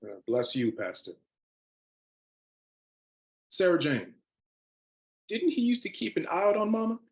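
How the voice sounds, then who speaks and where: A man's voice: high voice, huge pitch swings, measured pace, heavily acted. A man playing an easygoing, teasing younger brother, folksy and wry, in a dramatic script read. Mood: sheepish.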